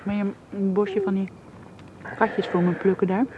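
A woman's voice: mid-range voice, fairly even intonation, moderate pace, dull audio, noisy background, close to an everyday voice. An actress playing a playful, timid young woman coaxing her sweetheart in a radio drama, girlish and exclaiming. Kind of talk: Dutch radio drama acting.

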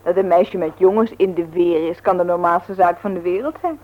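A woman's voice: lowish voice, brisk, dull audio, close to an everyday voice. An actress playing a sociable, eager young woman who chats with visitors in a Dutch radio play. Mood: chatty, eager. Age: young adult. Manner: chatty, eager, friendly.

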